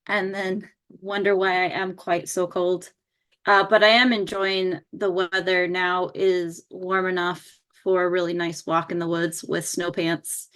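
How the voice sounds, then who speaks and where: A woman co-hosting a podcast, speaking in a calm, measured way. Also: fairly low pitch. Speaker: podcast co-host. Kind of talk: casual podcast chat.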